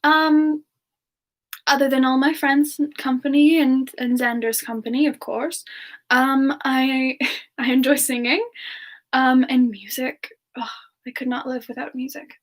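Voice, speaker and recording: A woman playing a sweet, affectionate young female frost demon, soft-spoken and hesitant, answering questions in a semi-ASMR live stream. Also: high-pitched, fairly even intonation, measured pace.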